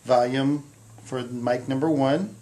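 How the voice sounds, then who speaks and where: A man's voice: medium pitch, steady tone, slow, mellow. A man in his forties giving a plain, methodical, hands-on equipment tutorial, explaining each step as an instructor. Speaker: instructor for an equipment rental company. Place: small indoor meeting room. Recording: roomy echo, distant mic, background hiss.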